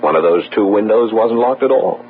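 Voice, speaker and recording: Over a tinny vintage radio broadcast, a man plays a self-important young policeman and devoted fiancé. His low voice swings expressively as he blusters and hesitates through a mystery drama. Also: lively intonation, a little fast, a little hiss or hum, lightly acted. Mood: self-satisfied. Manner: hesitant, blustering, echoes what he hears.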